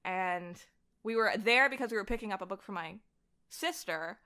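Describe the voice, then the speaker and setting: A woman's voice: medium pitch, animated, normal speed, bright, forceful tone. A young adult woman telling personal stories in a casual chat as a podcast guest, acting out bits of what people said. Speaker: podcast guest, voice actor and director.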